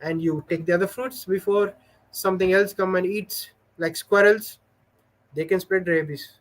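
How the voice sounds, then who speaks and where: Chatty man with a slightly high voice. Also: steady tone, moderate pace, low-quality recording. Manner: rambling, hesitant, full of "uh" fillers; storytelling. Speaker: livestream host. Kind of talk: casual livestream chat, reading viewer comments.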